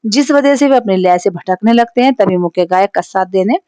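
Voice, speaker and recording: A woman teacher with a Hindi accent takes a poem apart line by line, patient and explanatory. She stops now and then to check that her class is following. Her voice sits at a middle pitch, and the recording is dry, with no room sound. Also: a little fast, warm tone, smooth, dry recording. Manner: explanatory, frequent "theek hai" check-ins.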